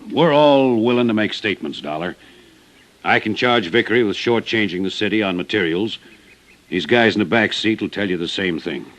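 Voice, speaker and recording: A man's voice: fairly low pitch, expressive, normal speed, fairly bright tone, low-quality recording. An American man playing a blunt, wary small-town construction foreman in an old-time radio drama, with folksy phrasing. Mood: frank. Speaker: supporting radio actor.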